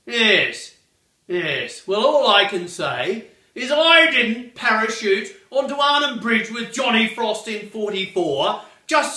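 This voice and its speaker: A man's voice: very high-pitched, sharp tone, exaggerated voice. A man playing a blustering old British war veteran with an upper-class English accent, ranting indignantly and guffawing in a comedy monologue. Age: older. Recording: echoey room, distant from mic.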